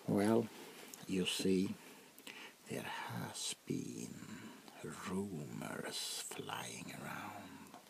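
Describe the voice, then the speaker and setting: A man playing an arrogant, power-hungry vampire prince in a soft-spoken ASMR roleplay, with haughty dramatic pauses and a gravelly voice that often sinks into whispers. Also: sits low, a little hiss or hum, heavily acted. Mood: secretive, grave.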